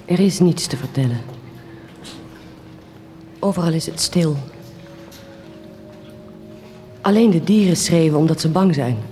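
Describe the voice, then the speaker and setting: A woman's voice: low-pitched, normal speed, dark tone, natural voice. An actress in a Dutch radio drama playing a stern, defiant young woman who tends the sick, speaking bluntly and firmly. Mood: subdued, weary. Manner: blunt, firm. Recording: music behind the voice.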